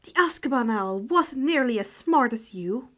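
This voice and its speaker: A woman's voice: on the high side, expressive, normal speed. A woman voicing a kind, earnest and apologetic teenage boy wizard. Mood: annoyed.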